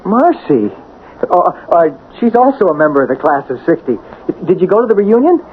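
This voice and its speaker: An actor playing a nervous, chatty witness who talks haltingly in a radio drama. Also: male voice, sits high. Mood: flustered. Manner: halting, stammering, excitable. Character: nervous, chatty, hesitant male witness at a police inquiry. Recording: muffled old radio recording, faint hiss.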